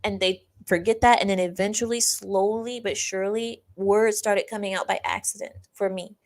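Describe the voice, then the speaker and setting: A woman's voice: medium pitch, fairly even intonation, normal speed. A young woman hosting a livestream, telling a personal story in a chatty way, laughing often and reading out chat comments. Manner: chatty, laughs often, acts out quoted classmates. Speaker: livestream host.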